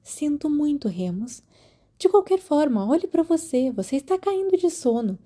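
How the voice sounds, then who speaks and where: A firm but caring Brazilian school nurse, voiced by a woman reading an audiobook, pitched well up. She is brisk, insistent and motherly. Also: big pitch swings, warm tone. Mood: sympathetic, insistent. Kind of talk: audiobook character voice.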